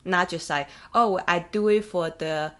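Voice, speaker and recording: A woman's voice: on the low side, a little slow. A woman host from Taiwan with a Taiwanese accent, asking thoughtful questions in a casual interview, with small grammar slips. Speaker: podcast host.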